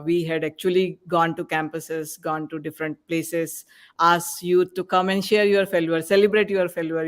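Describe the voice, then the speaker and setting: A man's voice: high-pitched, clean tone. A man on a podcast panel from the organizing group, speaking with frequent fillers. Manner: flat delivery, frequent fillers. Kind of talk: casual podcast panel talk.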